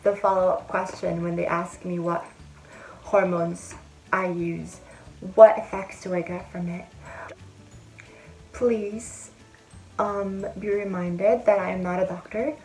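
A woman's voice: on the low side, fairly even intonation, slow pace, pauses often, a little mellow, soft background music, rough recording. A young woman talking to camera in a chatty, friendly way, giving step-by-step advice in a casual vlog. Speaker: vlogger. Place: indoor room with a bead-curtain backdrop.

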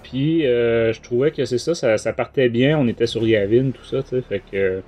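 Mellow-voiced Québécois man chatting. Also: medium pitch, moderate pace, soft background music. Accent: Québécois French. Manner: rambling, colloquial, hesitant. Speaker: podcast host and book reviewer. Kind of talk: casual book review chat.

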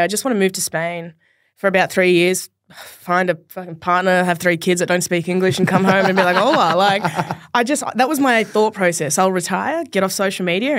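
Young Australian woman, podcast guest; casual, animated, quick to laugh; dry close mic. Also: mid-range voice, dry recording. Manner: laughing, swears freely, animated. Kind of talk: casual chat. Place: small podcast studio. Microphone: studio mic on boom arm.

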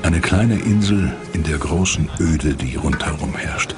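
Male documentary narrator, very low grave voice, suspenseful delivery over loud music. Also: unhurried. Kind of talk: scripted dramatic documentary narration.

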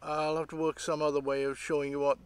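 A middle-aged British man presents his hobby projects in a casual, off-the-cuff style. His voice sits a little higher than most men's, and he rambles hesitantly as he explains. He is recorded outdoors, with a dry sound free of echo. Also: level tone, fast talker, clean tone. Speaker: host of an electronics hobby channel. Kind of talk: casual unscripted show-and-tell. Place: outdoors by leafy trees.

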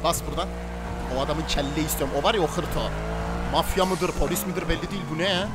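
A man with a fairly high-pitched voice banters through an online roleplay game. He is bossy and excitable, repeating short orders. Loud vehicle noise from the game runs behind him. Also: fast talker. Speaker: gamer playing a robber and gang leader.

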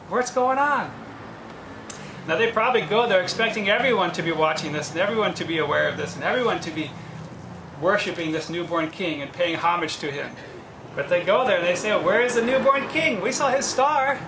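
A man in his thirties, a priest, preaching a lively homily outdoors under a tent on a lapel mic, acting out the people he quotes. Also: high-pitched. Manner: animated, gesturing, acts out quoted voices. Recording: echoey with faint ambient noise.

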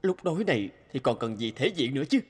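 An actor playing an emotional, hot-tempered young son in a cartoon, with dramatic delivery. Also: male voice, mid-range voice, very animated, fast, a little hiss or hum, natural voice. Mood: brooding. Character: hot-tempered, resentful young man, later remorseful son. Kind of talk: cartoon dubbing. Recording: clear music under the voice.

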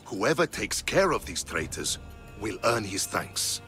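An actor playing an earnest, loyal Spartan officer in a video game, respectful and persuasive. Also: male voice, lowish voice, sing-song, measured pace, pushed and bright, full character voice. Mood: confiding. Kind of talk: dramatic video game acting. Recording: music behind dialogue.

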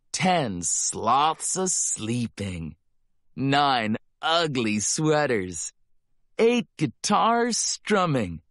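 A man reading a rhyming children's picture book aloud as narrator, with a playful, drawn-out delivery. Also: medium pitch, no room sound, studio quality. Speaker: storybook narrator.

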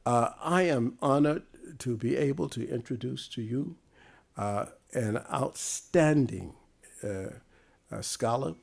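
An older man introducing a guest speaker in a halting, formal way, full of pauses, at a desk microphone in a lecture room. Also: medium pitch, creaky, a little mellow.

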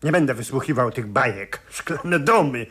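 An actor playing an indignant, authoritative official who interrogates with curt sarcasm in a 1970s radio play. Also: male voice, medium pitch, lively intonation, moderate pace, a bit bright, husky. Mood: scornful. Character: scornful, indignant male interrogating official, loyal to emperor.

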